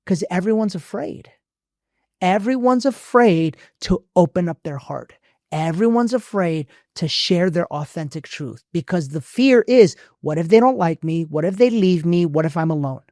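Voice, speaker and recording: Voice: medium pitch, animated, moderate pace, a little mellow, no echo, clean studio sound. A podcast co-host who speaks bluntly and emphatically, swears freely and uses a preachy, motivational delivery. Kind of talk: casual relationship podcast chat.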